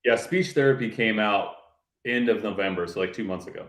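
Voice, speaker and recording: A man's voice: on the low side, a little fast, fairly bright tone. A man guesting on a podcast as a writer, easygoing, with dry teasing humor. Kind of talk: casual podcast conversation. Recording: echoey room sound.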